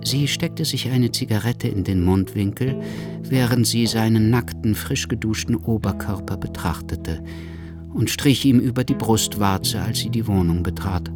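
A man narrating a literary radio drama in a measured, storytelling manner. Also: fairly low pitch, music in the background, close to an everyday voice.

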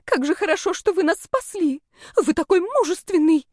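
Shrill, spiteful schoolgirl witch voiced by a woman reader, melodramatic accuser, high and swooping, audiobook fantasy. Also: lively intonation, moderate pace, darkish tone, no echo, studio quality. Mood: panicked flattery.

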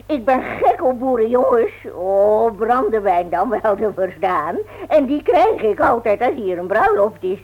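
A feisty elderly aunt banters brashly in a radio play. Her voice is high and very animated. The recording is old and dull. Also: measured pace, few pauses. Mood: teasing. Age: older. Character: feisty, teasing old aunt who loves brandy. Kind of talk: Dutch radio play acting.

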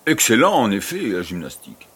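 A man's voice: fairly low pitch, wildly swooping pitch, brisk, fairly bright tone, breathy edge, full character voice. A man playing a genial, curious aristocratic baron in an audio drama, urbane and a little condescending. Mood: polite doubt.

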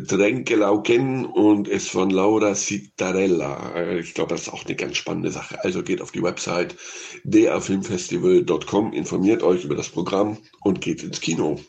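Male radio film reviewer, gravelly fry, unscripted, thinking out loud. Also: low voice.

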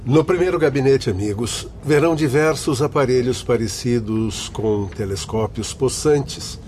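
A man's voice: mid-range voice, unhurried, darkish tone, slight background noise, lightly acted. An actor playing a courteous doctor and father escorting patients in a radio drama, formal and orderly. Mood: authoritative. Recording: faint music behind the voice.

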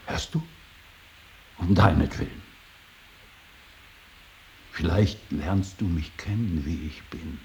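Deep, gravelly stern father. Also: heavily acted. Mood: grave, probing. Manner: solemn, insistent, halting, swinging from hushed to forceful. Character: stern, pleading middle-aged father, a painter and professor. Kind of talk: 1980s German radio drama acting. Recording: faint tape hiss.

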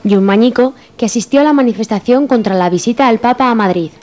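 A woman's voice: fairly high pitch, fast, a little mellow. A woman from narrating a scripted radio drama. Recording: narration over crowd noise.

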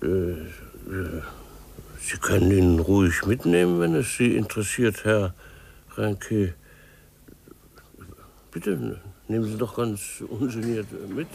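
A man's voice: lowish voice, lively intonation, slow pace, gravelly, pauses often, darkish tone, heavily acted. Effusive, slippery businessman, faintly noisy. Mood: uneasy. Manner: gushing, hesitant, repeating small words. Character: effusive, slippery businessman feigning friendly ease. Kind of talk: 1950s German radio drama acting. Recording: faint noise, old radio recording.

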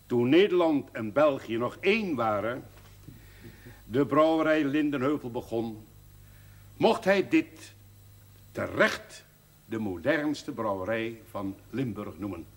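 A proud older company director in a period TV drama. He speaks solemnly and very slowly, his pitch swelling and sinking widely as he delivers a formal jubilee address. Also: lively intonation. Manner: solemn, oratorical, deliberate, with emphatic swells. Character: proud older male brewery director giving a formal speech.

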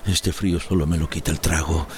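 An actor playing an old Central American village drunk and healer in a radio drama, rambling and repeating himself in self-pity. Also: male voice, rumbling low, fairly even intonation, a little fast, a little hiss or hum. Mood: shaky, pleading. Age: older.

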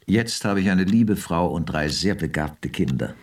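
A formal, guarded company boss with a slightly low, husky voice. He sounds a bit distant from the mic, with faint music behind. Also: expressive, a little slow, darkish tone, exaggerated voice. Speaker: company director general.

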